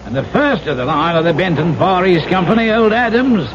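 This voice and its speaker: A man's voice: high-pitched, animated, a little fast. An actor playing a folksy, garrulous, superstitious sea captain in a radio drama, telling his tales emphatically. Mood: grim, emphatic. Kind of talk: 1970s radio drama acting. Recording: dull, noisy old radio broadcast.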